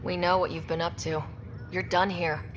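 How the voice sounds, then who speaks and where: A woman's voice: fairly low pitch, level tone, moderate pace, a little hiss or hum, natural voice. A woman playing an earnest, direct young space expedition leader in a video game. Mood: stern. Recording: muffled, long reverb, music behind.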